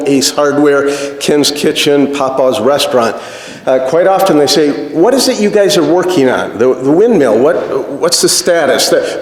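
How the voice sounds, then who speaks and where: A man giving an informal, off-the-cuff speech at a meeting, joking and often pausing on "uh". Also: medium pitch. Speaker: speaker at society dinner meeting. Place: indoor dinner meeting room. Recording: echoey hall, faint background noise.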